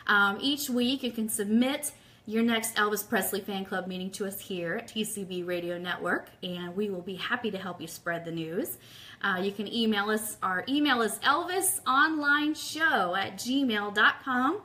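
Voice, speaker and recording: A woman's voice: mid-range voice, normal speed, bright, forceful tone. A woman hosting a live-streamed fan radio show, chatting informally and upbeat as she gives announcements and greets viewers.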